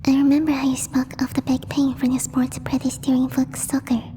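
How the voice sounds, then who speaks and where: A woman voicing a gentle, timid alien girl in a soft, breathy ASMR roleplay, speaking formally and haltingly as she searches for words. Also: high-pitched, monotone, natural voice. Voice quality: breathy, whispery. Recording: long reverb, faint background noise.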